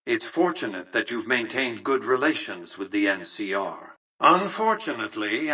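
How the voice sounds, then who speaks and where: A man playing an aged, imperious industrialist in a video game, speaking formally and condescendingly with precise, measured diction. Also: medium pitch, pushed and bright, breathy edge, far from the mic, poor audio. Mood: cool, condescending. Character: aged, shrewd, imperious industrialist tycoon ruling a casino city. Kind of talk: video game character dialogue.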